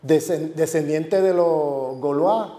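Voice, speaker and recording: A man's voice: on the high side, takes it slowly, mellow. A man, a university professor, giving an academic lecture with emphasis, repeating and listing words for stress. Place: lecture hall. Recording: echoey lecture hall.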